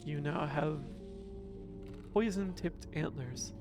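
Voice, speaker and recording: A man with a mixed accent casually commentating his card game as a streamer, musing slowly and chuckling to himself. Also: on the high side, vocal fry, music in the background.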